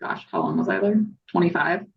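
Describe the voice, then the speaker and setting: A woman's voice: on the low side, a little fast, creaky, breathy edge, lo-fi sound. A woman in her thirties from Utah chatting casually as a podcast guest, in piecemeal phrases peppered with "like". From southern Utah, United States. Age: 30s. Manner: hesitant, piecemeal phrasing with constant "like". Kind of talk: casual chat.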